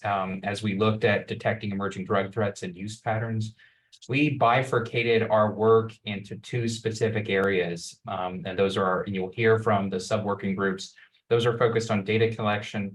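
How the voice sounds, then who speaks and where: A man speaking as a working group co-chair in an online meeting, earnest and listing points with frequent ums. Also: on the low side. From United States. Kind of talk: opening remarks in an online meeting.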